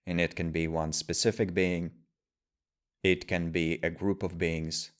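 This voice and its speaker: Low-voiced man guiding a meditation. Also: level tone. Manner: measured, deliberate phrasing with pauses. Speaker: meditation teacher. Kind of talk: guided meditation, slow spoken instruction.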